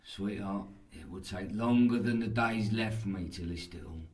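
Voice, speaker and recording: An actor playing a coarse, lecherous, gluttonous castle guard with a sardonic, earthy delivery in an audio horror drama. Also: male voice, fairly low pitch, moderate pace, darkish tone, slight background noise, close to an everyday voice. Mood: sardonic, grim.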